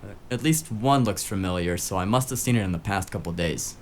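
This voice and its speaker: Man playing a cheeky, lowish-voiced detective's sidekick. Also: a little fast, a little hiss or hum, natural voice. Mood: casual, informative. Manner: breezy, casual banter. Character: cheeky, eager male tech-savvy investigator sidekick. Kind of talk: noir audio drama acting.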